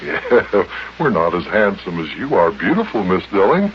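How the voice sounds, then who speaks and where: Courteous, kindly man, deep animated voice, 1950s radio drama over faint noise. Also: normal speed. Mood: gallant. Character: Big Jon, kindly courteous grown-up man. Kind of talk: 1950s children's radio serial acting. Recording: dull, noisy old radio recording.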